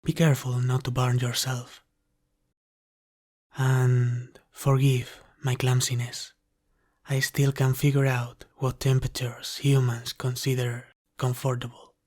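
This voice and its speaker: A devoted dragon butler, acted by a man, attends in a soft ASMR roleplay. His speech is very slow and unhurried, with a level, restrained melody at a medium male pitch. He is courteous and formal, always gentle and deferential. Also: monotone, lightly acted. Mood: apologetic, attentive. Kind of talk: ASMR roleplay, soft scripted character acting.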